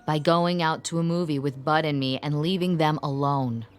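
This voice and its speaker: An actress playing a brisk, no-nonsense grown daughter in a radio drama, firm and commanding. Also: female voice, sits low, a little hiss or hum, close to an everyday voice. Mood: firm, insistent. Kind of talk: old-time radio drama acting.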